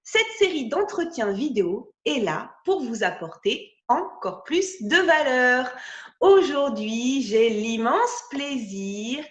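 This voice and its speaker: A woman's voice: high voice, expressive, takes it slowly, reverberant, muffled recording. A woman hosting a podcast video interview, chatty and hesitant, with many fillers and restarts.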